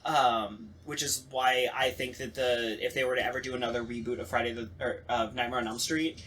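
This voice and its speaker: A man hosting a casual podcast discussion, talking in a rambling, animated, sweary way. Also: medium pitch, brisk, slight background noise, low-quality recording. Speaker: podcast host.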